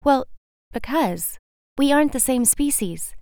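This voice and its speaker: A woman's voice: high-pitched, animated, a little slow, gravelly, a little mellow, dry recording, clean studio sound, pitched above the performer's own voice, exaggerated voice. A woman voicing a dainty, proud female butterfly in a storybook, prim and a little haughty.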